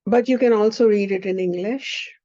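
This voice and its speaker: A woman whose first language is Hindi, teaching a spiritual class in an unhurried way and often checking in with the listener. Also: medium pitch, mellow, dry recording. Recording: dull lo-fi recording.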